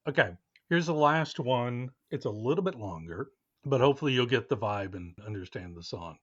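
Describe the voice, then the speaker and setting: A middle-aged man hosts a podcast in a casual, chatty style. His pitch moves around in a lively way. The recording is a little dull and lo-fi. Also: normal speed. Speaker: podcast host.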